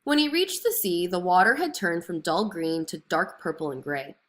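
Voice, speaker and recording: A woman reading a children's fairy tale aloud as an expressive storyteller. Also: on the low side, moderate pace. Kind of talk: expressive scripted story narration.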